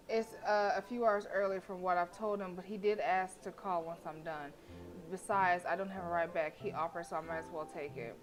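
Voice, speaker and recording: A woman's voice: lowish voice, rough recording. A woman streaming a game, reading story text aloud with blunt, sassy, casual asides. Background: game music.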